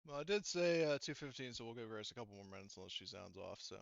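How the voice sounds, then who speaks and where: A man running a tabletop game as game master over a voice call, narrating and chatting casually in halting, rambling stretches full of fillers. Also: mid-range voice, lively intonation, vocal fry, no echo, lo-fi sound. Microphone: online voice call microphone.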